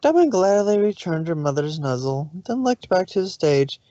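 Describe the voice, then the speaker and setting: A woman's voice: on the low side, very animated, moderate pace, dark tone, no room sound. Dry, slightly muffled woman storyteller. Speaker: fan fiction narrator. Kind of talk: expressive story reading. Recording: slightly dull home recording.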